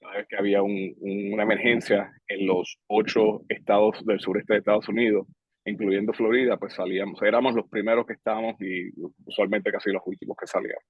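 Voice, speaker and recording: A husky, somewhat low-pitched man, talking things through in an unhurried, measured way and pausing often to find his words. The recording is dry and close, with a slightly dull, muffled top end. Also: dry recording. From Puerto Rico. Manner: relaxed, measured, with frequent hesitations. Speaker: guest, public safety director. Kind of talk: casual interview talk.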